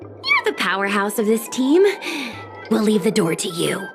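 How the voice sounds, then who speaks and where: A woman voicing a brisk, capable young woman adventurer who takes charge in an anime-style game. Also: medium pitch, sing-song. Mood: focused. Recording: game music behind the voice.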